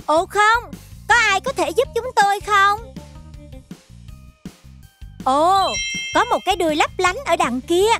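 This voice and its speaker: A woman's voice: extremely high pitch, animated, slow pace, pauses often, bright, forceful tone, faint music behind, heavily acted. A woman voicing a helpful, childlike little fox explorer in a children's cartoon, exclaiming and encouraging. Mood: alarmed.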